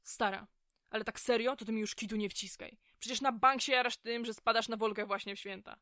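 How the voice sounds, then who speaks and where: A woman's voice: fairly high pitch, steady tone, brisk, sharp tone, no echo, studio quality. An actress playing a crude, brash female inmate in an audio drama, speaking in coarse, blunt slang. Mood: envious, teasing.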